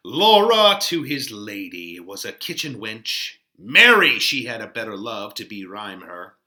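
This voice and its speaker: A man's voice: on the low side, big pitch swings, unhurried, bright, forceful tone, pitched below the performer's own voice, heavily acted. A man playing a mocking, theatrical young Elizabethan wit in an exaggerated dramatic Shakespeare reading. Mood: gleeful teasing. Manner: theatrical, exaggerated, swooping delivery.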